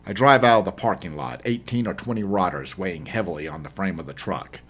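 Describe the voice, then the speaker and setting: Male author-narrator, deep and rough-edged, delivering first-person horror prose with dramatic intensity. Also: brisk, a bit bright. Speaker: author reading own audiobook.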